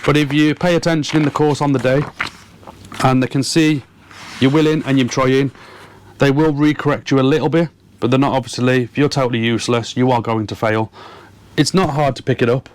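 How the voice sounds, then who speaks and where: A man's voice: mid-range voice, steady tone, brisk. Earnest man explaining casually. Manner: earnest, emphatic, repeats words for stress. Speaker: fishing and boating vlogger. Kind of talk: casual explainer, talking to viewers.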